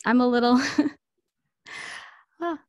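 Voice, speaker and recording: A woman with a slightly high voice speaks haltingly on a video call, pausing and apologizing as she goes. Her sound is close and dry. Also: no echo. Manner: halting, apologetic, full of fillers. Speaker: panelist. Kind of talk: emotional personal sharing.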